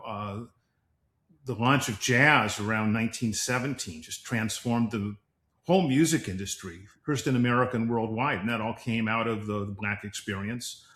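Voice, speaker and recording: A man's voice: medium pitch, a bit bright. A man speaking as a guest music expert, laying out an explanation in a panel discussion, in a roomy recording. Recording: echoey, roomy sound.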